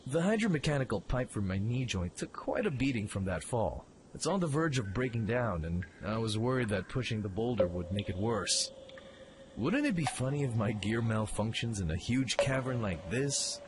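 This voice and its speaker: A man's voice: mid-range voice, big pitch swings, moderate pace, background noise, close to an everyday voice. A man playing a cocky, self-reliant young mech pilot in a radio play, with a brash, swaggering delivery. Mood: breezy, confident.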